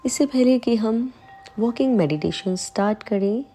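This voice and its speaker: A woman leading a guided meditation, calm and soothing, giving unhurried instructions with long pauses between phrases. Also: a little high, soft background music. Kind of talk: slow guided meditation in Hindi.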